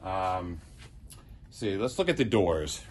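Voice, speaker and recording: A man's voice: deep voice, expressive, slow pace, noisy background. A middle-aged man giving a casual, rambling tour of his prop box in a theater prop shop, listing tools with many ums and uhs. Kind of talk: casual show-and-tell tour.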